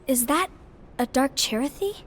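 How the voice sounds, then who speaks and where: High, sweet girlish heroine. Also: animated, measured pace, a little hiss or hum. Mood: curious, delighted. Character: sweet, earnest, cute young girlish heroine. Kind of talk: dubbed video game cutscene acting. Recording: music under the dialogue.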